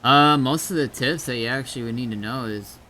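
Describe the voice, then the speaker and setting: A man's voice: medium pitch, fairly quick, bright, forceful tone. A man casually guiding newcomers through an online game, explaining step by step and somewhat hesitantly. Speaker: experienced game server player guiding newcomers.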